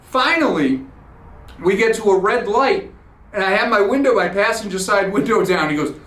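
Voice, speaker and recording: A man's voice: sits high, lively intonation, normal speed, a bit bright. A man hosting a podcast, telling a blunt, profane, sarcastic story in a ranting monologue. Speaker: podcast host. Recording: roomy echoey room.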